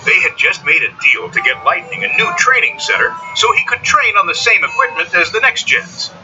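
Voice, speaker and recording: A man narrating a scripted children's story in a dramatic, expressive way. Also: high-pitched, brisk. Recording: dull sound under loud music. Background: loud music bed.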